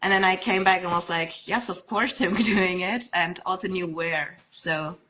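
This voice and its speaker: Young woman guest, bright tone, chatty with restarts and laughs. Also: on the low side, steady tone, moderate pace, a little hiss or hum, low-quality recording. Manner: chatty, laughs often, many fillers and restarts. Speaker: podcast guest. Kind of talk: casual podcast chat.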